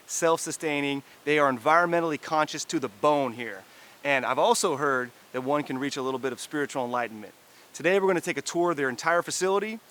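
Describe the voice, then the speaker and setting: A man's voice: a little high, a bit bright. A man hosting a travel show, presenting to camera in an upbeat, chatty way.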